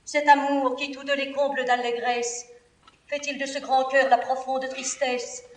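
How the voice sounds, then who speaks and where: An actress playing a devoted, respectful confidante to a princess, declaiming classical French verse tragedy in an earnest, theatrical way. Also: female voice, high-pitched, flat delivery, unhurried. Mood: concerned, probing. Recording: roomy, distant theatrical studio recording.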